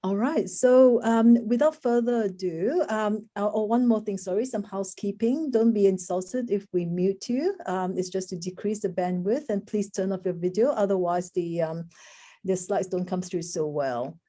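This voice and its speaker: A woman's voice: mid-range voice, moderate pace, dark tone. A woman hosting an online session, giving an informal introduction with hesitant asides. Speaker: session host, moderator. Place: video call.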